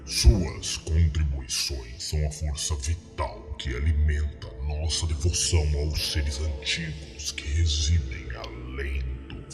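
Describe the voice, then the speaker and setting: A man with a Brazilian accent playing a solemn, sinister cult priest, intoning slowly and ceremonially in a breathy voice for a horror story. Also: a bass voice, voice pushed down, full character voice. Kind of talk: scripted horror roleplay monologue. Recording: echoing, with music underneath. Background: music.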